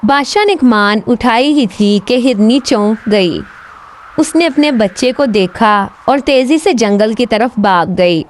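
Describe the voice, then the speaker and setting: Slow, high-voiced woman storyteller. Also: animated, slight background noise. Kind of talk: scripted children's story narration.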